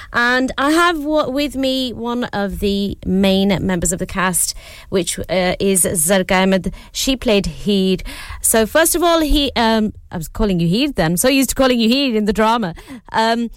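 A middle-aged woman hosts a radio chat, her voice a little hoarse yet smooth and resonant. She speaks in a friendly, encouraging way, recorded close and dry. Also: medium pitch, no echo. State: slightly hoarse. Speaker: radio presenter. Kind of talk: casual radio interview.

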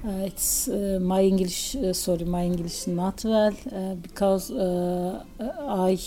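A middle-aged woman with a Turkish accent, a guest in a radio interview, speaking haltingly in English with many pauses and fillers. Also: medium pitch, fairly even intonation, warm tone.

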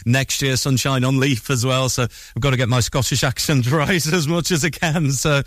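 A man's voice: mid-range voice, fairly even intonation, normal speed, no room sound, clean studio sound. A man hosting a breakfast radio show, interviewing a guest backstage at a theatre in an upbeat, eager way with plenty of fillers. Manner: upbeat, enthusiastic, many fillers. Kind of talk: casual interview chat.

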